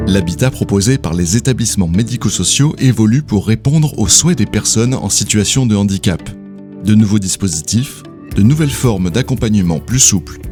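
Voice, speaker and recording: A man's voice: fairly low pitch, darkish tone. Man narrating a documentary over music.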